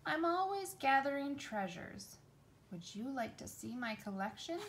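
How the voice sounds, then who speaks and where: A woman's voice: sits high, big pitch swings, unhurried, slight background noise, voice pushed up, full character voice. A woman voicing a cheerful, adventurous young mermaid in a storybook, eager and encouraging. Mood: eager, playful.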